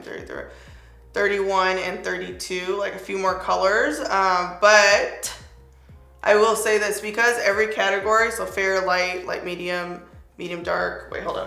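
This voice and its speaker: A young woman with a raspy voice, chatting excitedly as she reviews a makeup product. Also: medium pitch, roomy sound. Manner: chatty, excited, filler-heavy. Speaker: beauty vlogger.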